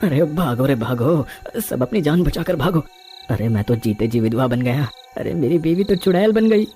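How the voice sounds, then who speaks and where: A man performs a young village husband in an animated horror tale. He is anxious and melodramatic, speaking in exclamations. Music runs behind his voice. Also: on the high side, moderate pace, natural voice. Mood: panicked lament. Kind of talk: animated horror story dialogue.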